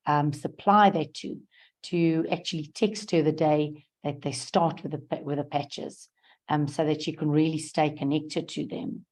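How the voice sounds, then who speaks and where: A hoarse woman gives earnest, halting feedback on a video call, with many pauses and ums. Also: low-pitched, darkish tone. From South Africa. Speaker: guest speaker sharing event feedback. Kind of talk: unscripted testimonial on a video call.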